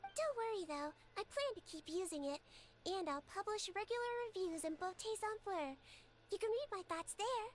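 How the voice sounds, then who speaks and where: Very high, composed beauty expert. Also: female voice, animated, a little hiss or hum. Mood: assessing. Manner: measured, professional, analytical. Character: composed, matter-of-fact female beauty expert. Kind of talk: English video game dub acting.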